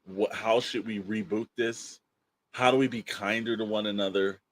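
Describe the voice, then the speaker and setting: A man's voice: fairly low pitch, fairly even intonation, moderate pace, poor audio. An American man co-hosting a podcast in casual, rambling talk peppered with verbal tags like "you know". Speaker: podcast co-host.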